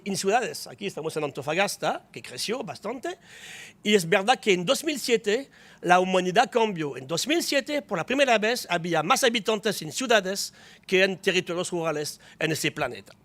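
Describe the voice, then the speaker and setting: A man's voice: high-pitched, a bit bright. A man, a biologist speaking Spanish as an at a conference panel, explaining with small restarts. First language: French. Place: auditorium.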